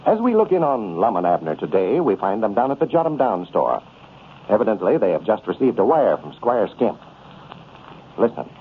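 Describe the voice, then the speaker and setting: Tinny old-time radio announcer, animated man. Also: fairly low pitch, normal speed, slight background noise. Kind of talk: 1930s radio sponsor announcing.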